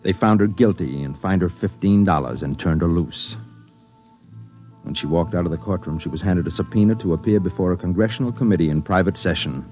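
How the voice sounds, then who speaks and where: An actor playing a persistent newspaper reporter who narrates the story in a plain, matter-of-fact way, in a 1950s radio drama. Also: male voice, low-pitched, brisk, darkish tone, highs cut off, natural voice. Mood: narrating. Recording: old radio broadcast with music bed.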